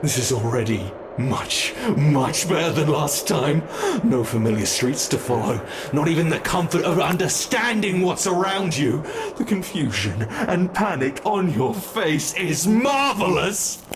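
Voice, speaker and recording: A British man voicing a predatory male monster from under the bed in a horror roleplay. He speaks slowly and playfully, taunting his prey and dropping to whispers. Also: on the high side, very animated, noisy background. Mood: gleeful, predatory.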